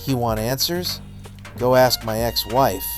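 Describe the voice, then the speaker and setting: An actor playing a middle-aged, washed-up wrestler, bitter, defensive and self-loathing, in a noir radio drama. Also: male voice, mid-range voice, measured pace, faint music behind, lightly acted. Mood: bitter, angry.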